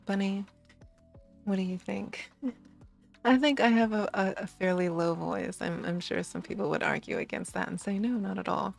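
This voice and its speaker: Sleepy raspy woman streamer. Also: fairly even intonation, normal speed, soft background music. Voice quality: slight rasp, low voice. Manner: sleepy, giggly, rambling with restarts. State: tired. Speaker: VTuber streamer. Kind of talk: casual late-night stream chat.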